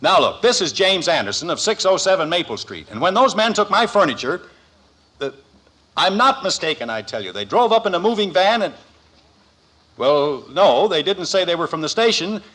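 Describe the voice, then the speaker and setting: An exasperated middle-aged family man in a 1950s radio comedy. His voice is a little high and husky, and it swings widely as he blusters with sarcasm and indignation. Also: very animated, moderate pace, a bit bright, full character voice. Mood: indignant. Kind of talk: 1950s radio sitcom acting.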